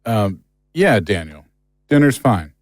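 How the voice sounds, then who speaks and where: A man's voice: lowish voice, big pitch swings, slow, no echo, studio quality. Anxious, swooping, awkward male teammate acted by a man. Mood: uneasy. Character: uneasy, suspicious, awkward male friend in a team. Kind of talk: naturalistic audio drama acting.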